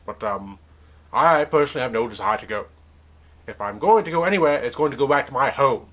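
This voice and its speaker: A man's voice: mid-range voice, lively intonation, brisk, a bit bright. A man hosting a comedic webcam interview in a put-on accent, mock-formal and rambling, often questioning himself.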